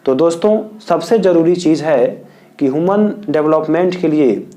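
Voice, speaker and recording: A man from India teaching as a tutor, dictating answers slowly and repeating each point in several wordings. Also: a little high, warm tone. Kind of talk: exam answer lesson.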